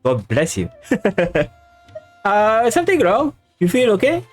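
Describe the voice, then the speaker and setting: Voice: medium pitch, wildly swooping pitch, slow. A game streamer casually reading dialogue aloud and murmuring reactions on a livestream. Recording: game music behind the voice.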